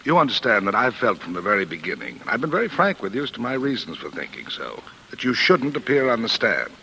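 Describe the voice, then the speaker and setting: An actor playing a brisk, weary, paternal defense lawyer in a 1940s radio drama, authoritative and given to repeating himself. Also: male voice, fairly low pitch, sing-song, creaky, pushed and bright, exaggerated voice. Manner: authoritative, repeats himself, talks over objections. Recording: noisy old 1940s radio broadcast.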